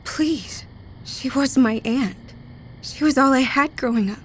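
A woman's voice: high-pitched, measured pace, slight background noise. An actress playing a tired, scattered young woman grieving her aunt, who blurts things out awkwardly. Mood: flustered.

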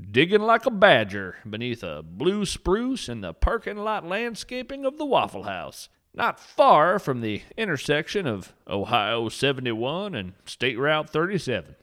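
A man's voice: medium pitch, a bit bright. A man hosting and narrating a whimsical scripted nature podcast with an earnest, poetic, mock-solemn delivery.

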